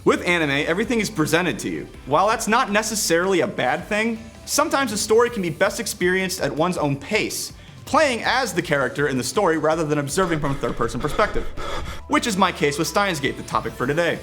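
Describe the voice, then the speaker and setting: Higher-pitched, lively male game reviewer. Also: brisk, faint music behind. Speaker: video game reviewer and show presenter. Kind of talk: scripted review narration with casual asides.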